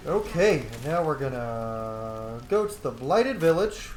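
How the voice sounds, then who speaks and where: A man doing casual, excited gameplay commentary, cheeky and slangy, gushing as he goes. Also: medium pitch, slow pace, roomy sound, soft background music. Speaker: gaming video host.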